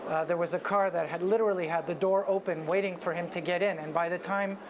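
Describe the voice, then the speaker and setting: Female shop owner interviewee, lowish pitch, spontaneous speech in a noisy location. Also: steady tone, fast talker. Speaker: store owner being interviewed.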